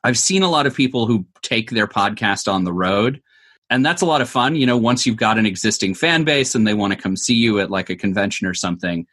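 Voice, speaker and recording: A man with a lowish voice answers interview questions in a conversational way. He explains his points thoughtfully, adding asides as he works through them. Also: expressive, brisk, a bit bright. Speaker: interview guest, audio drama maker.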